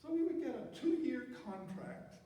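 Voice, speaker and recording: A man's voice: very high-pitched, very animated, measured pace, dark tone. An older man telling personal career stories to an audience in an emphatic way, often repeating words for effect. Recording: very echoey hall, distant mic, faint noise.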